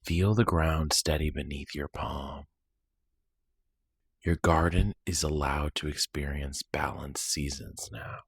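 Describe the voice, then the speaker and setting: A man with an extremely deep, husky voice leads a guided meditation. He speaks in a soothing, deliberate way, leaving long pauses between short phrases. The recording is dry and close, with no room sound. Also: no room sound.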